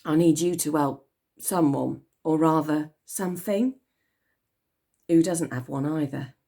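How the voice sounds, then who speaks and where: A woman's voice: deep voice, a little slow, vocal fry, mellow, full character voice. A woman voicing a brave young orphan girl in a read-aloud story, calling out urgently. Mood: pleading.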